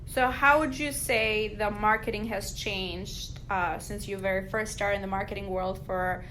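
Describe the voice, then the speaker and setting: A woman's voice: mid-range voice, steady tone, unhurried, pushed and bright, a little hiss or hum, low-quality recording. A woman from Albania hosting a casual interview, chatty and peppered with "like". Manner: chatty, uses "like" often. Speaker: podcast host.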